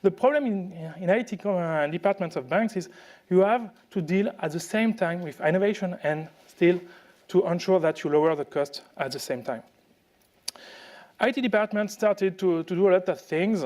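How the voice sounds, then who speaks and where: A man from France with a French accent, giving a conference presentation in a halting way with many fillers and restarts. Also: on the high side, animated, a little mellow. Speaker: conference speaker.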